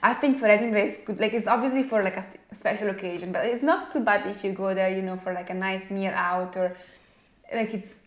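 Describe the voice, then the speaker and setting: A woman's voice: medium pitch, steady tone, a little fast. A young woman from Italy with an Italian accent, chatting casually as a podcast guest. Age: young adult. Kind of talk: casual chat. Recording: roomy, echoey sound.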